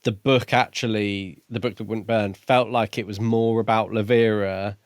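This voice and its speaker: A man co-hosting a podcast, talking casually about books in halting, thoughtful short phrases. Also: on the low side, level tone, no echo, studio quality. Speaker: podcast co-host. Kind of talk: casual book discussion.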